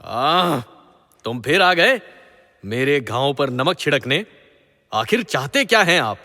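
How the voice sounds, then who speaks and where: A proud god king of mythological radio drama declaims in a slightly high, bright male voice. His delivery is haughty and theatrical. The sound carries long hall reverb. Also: sing-song, slow pace, exaggerated voice. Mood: indignant. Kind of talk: Hindi mythological audio drama acting.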